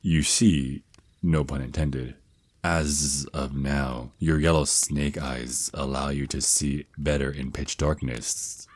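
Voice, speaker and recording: An extremely low-voiced vampire naga boyfriend, played by a man, unhurried and close in a dry recording. He speaks as a fond, protective mentor in an intimate ASMR roleplay, stretching his s sounds and stumbling now and then over slightly formal phrases. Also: fairly even intonation, no room sound, heavily acted. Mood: instructive, slightly flustered. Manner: hesitant stammers, drawn-out s sounds, slightly formal wording. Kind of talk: ASMR roleplay, intimate one-sided scripted dialogue.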